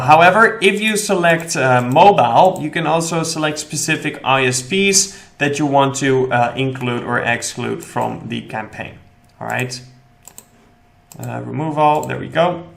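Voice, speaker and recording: Young man explaining software settings. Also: medium pitch. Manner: explanatory, steady, with frequent "okay" and "all right" check-ins. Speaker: affiliate marketing instructor. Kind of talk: screen-recorded tutorial walkthrough. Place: indoor room at a desk.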